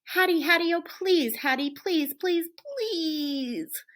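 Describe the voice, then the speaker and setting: Woman doing high, whimpering young male dog; excitable, pleading, storybook reading. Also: slow pace, exaggerated voice.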